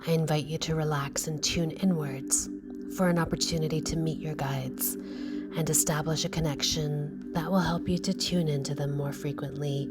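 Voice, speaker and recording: Low-voiced woman guiding a meditation over music. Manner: soothing, slow, gentle. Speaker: meditation guide. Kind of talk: guided meditation narration.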